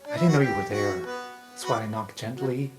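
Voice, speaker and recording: A man playing a defensive, sullen young male dark elf student in an improvised tabletop game, guarded and sarcastic in manner. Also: lowish voice, fairly quick, lightly acted. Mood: sullen, indignant. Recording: music under the voice.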